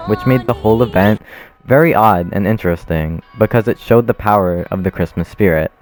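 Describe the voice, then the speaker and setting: A man's voice: sits low, darkish tone. A young man reading out a scripted explanatory narration.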